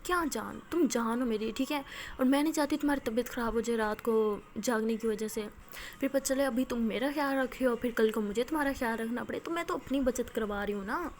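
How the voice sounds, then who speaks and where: A woman voicing a playful young woman who teases her partner with soft affection, read within a told story. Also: a little high, lightly acted. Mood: teasing, affectionate.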